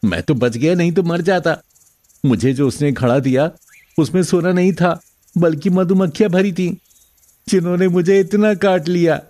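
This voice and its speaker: Greedy, mellow-voiced pushy neighbour, acted by a man. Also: fairly high pitch, moderate pace, close to an everyday voice. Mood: angry. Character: greedy, envious village neighbour. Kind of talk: animated moral story dialogue in Hindi.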